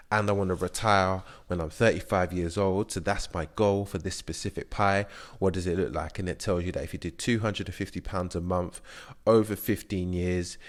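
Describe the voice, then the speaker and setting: Young guy with a really low voice, chatty, lots of ums, talking you through an investing app. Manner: chatty, explanatory, many fillers. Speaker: investing channel host. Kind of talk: screen-share app walkthrough.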